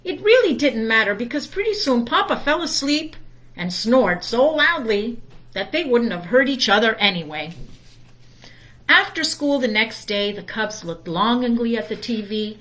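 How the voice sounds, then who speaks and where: A woman reading a children's story aloud in a deliberate, expressive storytime manner. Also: a little high, rough recording. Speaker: storytime reader.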